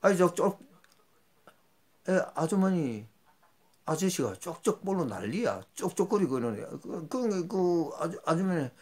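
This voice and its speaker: A man's voice: very animated, a little slow, darkish tone. An older man tells rambling, folksy stories from his rural youth as host of his own channel. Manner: rambling, folksy, with sudden jumps in pitch. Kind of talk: casual storytelling monologue.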